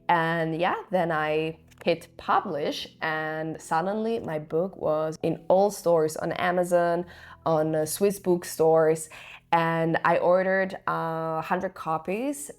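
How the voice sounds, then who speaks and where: A woman in her twenties whose first language is Italian, telling her own story to camera in a reflective way with hesitant fillers. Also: on the low side. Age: 20s. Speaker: author and vlogger.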